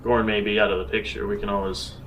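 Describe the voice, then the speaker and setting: A man's voice: lowish voice, little pitch movement, fast, a little hiss or hum. A man playing a tabletop role-playing game, talking casually and hesitantly as he decides his moves. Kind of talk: casual in-character game play. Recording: slightly distant mic, loud music behind. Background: prominent background music.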